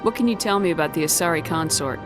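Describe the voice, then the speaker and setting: An actress voicing a blunt, impatient military commander. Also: female voice, low voice, quick pace, natural voice. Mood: curt. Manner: blunt, curt. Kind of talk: video game voice acting. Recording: game audio over music.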